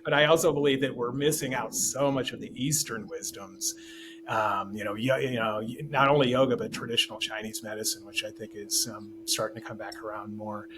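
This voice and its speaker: A man's voice: lowish voice, steady tone, fairly quick. Rambling man with a noisy background. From Taiwan. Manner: rambling, many restarts and fillers. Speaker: podcast guest. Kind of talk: casual conversation.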